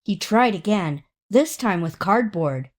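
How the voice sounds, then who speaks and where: A woman reading a children's story aloud in an expressive, dramatic way, drawing out words for emphasis. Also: medium pitch. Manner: dramatic, with drawn-out emphasis and acted sound words. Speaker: storybook reader.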